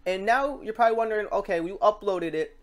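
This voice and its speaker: A woman with a mid-pitched voice walks through a software tutorial step by step. She is informal and chatty, rambling a little and correcting herself as she goes. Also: fast talker. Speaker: tutorial host.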